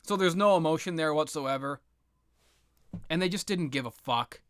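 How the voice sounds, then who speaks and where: A man's voice: a little high, normal speed, slight background noise. A man giving an unscripted, opinionated rant about video games in a blunt, exasperated, sweary manner. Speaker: video game commentator.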